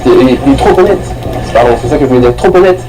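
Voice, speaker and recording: A man's voice: medium pitch, animated, very fast, over music, low-quality recording. A man giving a casual, rambling film review, full of restarts and slang.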